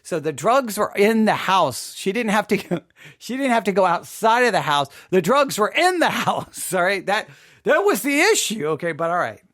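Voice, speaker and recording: A man hosting an informal spoken commentary, emphatic and halting, repeating himself and adding rhetorical asides. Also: high voice, big pitch swings, a bit bright, no room sound, clean studio sound. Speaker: podcast host commenting on a drama.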